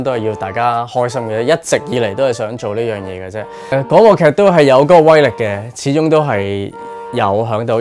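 A man in his forties with a Hong Kong Cantonese accent, talking casually and reflectively in an interview in a small studio. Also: mid-range voice. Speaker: radio drama writer and performer being interviewed.

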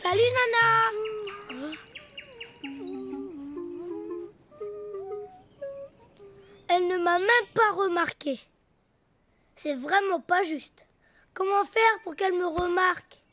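A very high, childlike cartoon donkey boy, eager and playful. He speaks unhurriedly, with earnest little boasts and exclamations. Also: slow, long pauses, bright, forceful tone, full character voice. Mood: pondering, eager. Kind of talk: French preschool cartoon voice acting.

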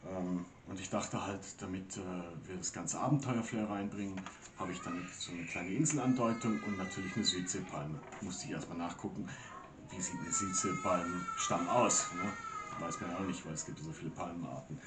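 A man's voice: sits low, moderate pace, echoey room, far from the mic, noisy background. A man in his forties talking casually to the camera about his painting, rambling and full of fillers, recorded in a small room at home. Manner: chatty, rambling, with many fillers. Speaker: acrylic painting channel host.

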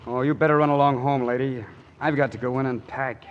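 An actor playing a nervous, self-doubting young soldier with plain colloquial speech in a 1940s radio drama. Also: male voice, mid-range voice, steady tone, brisk, muffled recording, lightly acted. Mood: dejected. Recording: vintage 1940s radio broadcast.

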